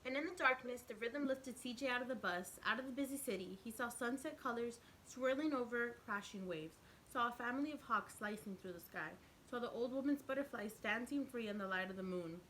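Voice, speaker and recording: Woman, teacher, high voice, expressive children's read-aloud, simple explanatory asides, noisy recording. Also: fairly quick, few pauses. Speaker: teacher reading a picture book to children. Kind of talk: read-aloud storytelling with asides to young listeners.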